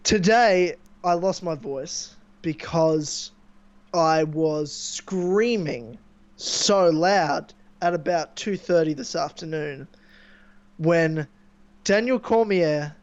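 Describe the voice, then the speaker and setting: A young man hosting a casual podcast, chatting and rambling excitedly with a sore throat from screaming. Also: a little high, slow pace, no room sound. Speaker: podcast host. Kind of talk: casual chat. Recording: dull lo-fi mic.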